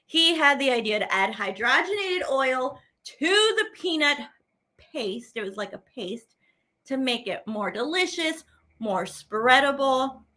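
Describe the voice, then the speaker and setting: Bright, high-pitched woman leading kids' activities. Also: measured pace. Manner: animated, playful, simple repeated phrases. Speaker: teaching artist hosting a children's show. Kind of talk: children's activity lesson, speaking to viewers at home.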